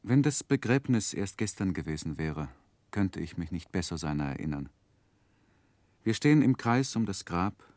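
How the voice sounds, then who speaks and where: An actor playing a grown man who soberly recalls his childhood, narrating a 1960s radio play in a measured, reflective way. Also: low voice, sing-song, darkish tone, no echo. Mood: wistful, reflective.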